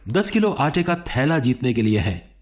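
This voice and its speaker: A man playing a suave, encouraging game show host in a radio play, presenting theatrically with deliberate pauses. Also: medium pitch, sing-song, heavy background noise, close to an everyday voice. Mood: suspenseful. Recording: music bed behind the voice.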